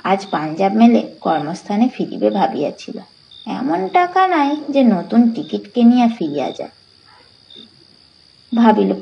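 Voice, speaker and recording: A woman reading a literary story aloud as an expressive storyteller, in dramatic audiobook narration. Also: mid-range voice, creaky, highs cut off, slight background noise.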